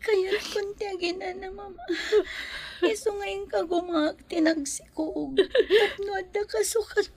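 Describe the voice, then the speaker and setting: A woman's voice: very high-pitched, a little slow, darkish tone. A woman playing an ailing, tearful pregnant young wife in an Ilocano radio drama, plaintive and self-sacrificing. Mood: tearful, pleading.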